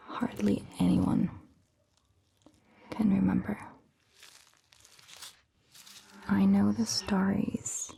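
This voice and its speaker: A woman playing a gentle, reassuring vampire doctor in a whispered, breathy ASMR roleplay, soothing and patient. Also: medium pitch, a little hiss or hum, full character voice. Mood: calm, explaining. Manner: slow, soothing, patient explaining.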